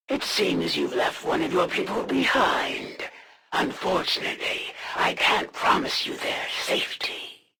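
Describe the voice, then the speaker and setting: An actress playing a proud, menacing alien assassin in a video game, speaking in a formal, haughty, threatening way. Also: female voice, fairly low pitch, vocal fry, a bit bright, exaggerated voice. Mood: scornful. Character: proud alien assassin warrior, female, menacing, honor-bound, disdainful. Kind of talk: scripted video game villain voice acting.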